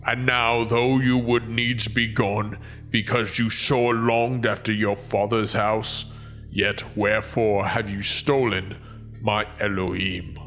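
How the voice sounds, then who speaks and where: A man's voice: on the low side, flat delivery, takes it slowly, fairly bright tone, soft background music. A man playing a stern, aggrieved old biblical father in a dramatized scripture reading, solemn and declamatory.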